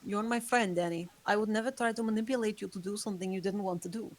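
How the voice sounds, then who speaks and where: A woman reading an ancient, hypnotic female vampire at a table read, calm and soft-spoken. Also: mid-range voice, fast, natural voice. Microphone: online voice call.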